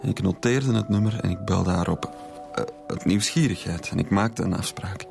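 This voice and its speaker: A man's voice: low voice, moderate pace, gravelly, a little mellow, soft background music. A man with a Flemish accent playing a defensive, troubled student being questioned in a radio drama, speaking hesitantly. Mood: explaining uneasily. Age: young adult. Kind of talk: naturalistic radio drama dialogue.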